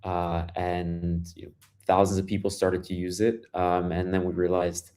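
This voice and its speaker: Brooklyn-accented man, low register, guest founder, relaxed storyteller who restarts his sentences. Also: moderate pace. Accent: Brooklyn New York. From Brooklyn, New York, US. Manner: loose storytelling with many fillers and restarts. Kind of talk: casual interview conversation.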